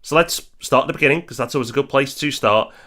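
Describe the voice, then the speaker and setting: A man's voice: medium pitch, fast talker, sharp tone. A man co-hosting a podcast, introducing guests in an upbeat, encouraging way. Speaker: podcast co-host. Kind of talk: scripted podcast narration.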